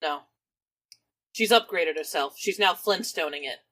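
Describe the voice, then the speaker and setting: A woman's voice: on the low side, measured pace, bright, forceful tone. A woman playing a tabletop roleplaying game, casually improvising and describing what her character does.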